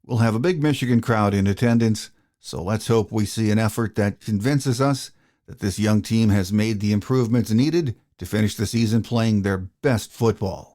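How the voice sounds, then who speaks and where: A man hosting a sports podcast, reading a steady, measured script. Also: fairly low pitch. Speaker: podcast host.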